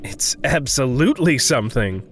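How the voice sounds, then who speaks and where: A woman acting a gentle, sincere, encouraging friend in a naturalistic audio drama. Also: extremely low pitch, lively intonation, moderate pace, soft background music. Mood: warm, encouraging.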